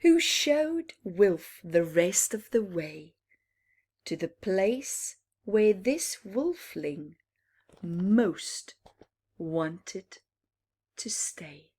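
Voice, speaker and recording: A woman reading a picture book aloud to young children in an animated storytime style, with dramatic pauses and little explanations for her listeners. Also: medium pitch.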